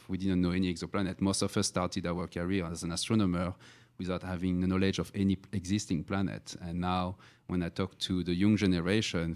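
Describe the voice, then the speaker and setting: A middle-aged man moderates a science panel. His voice sits very low, and he frames long, measured questions.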